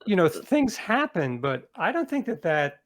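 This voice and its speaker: A man's voice: sits high, big pitch swings, measured pace. A man speaking as an interview guest and author, telling personal anecdotes in a self-assured, reflective, conversational way.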